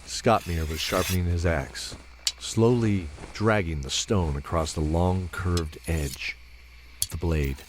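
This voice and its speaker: A man reading scripted fantasy narration in a measured, solemn storyteller's manner. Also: sits low, noisy background.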